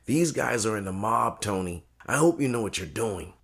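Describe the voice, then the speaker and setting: A man playing a loyal, tech-savvy cousin in an audio drama, speaking in a measured, serious, colloquial way. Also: on the low side, expressive, creaky, breathy edge, heavily acted. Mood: grave.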